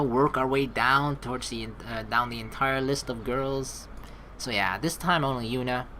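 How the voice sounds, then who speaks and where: A woman's voice: deep voice, a bit bright, slight background noise. A young woman reading a game aloud as a streamer, adding chatty, casual asides as she goes. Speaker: let's play streamer. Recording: faint game music behind.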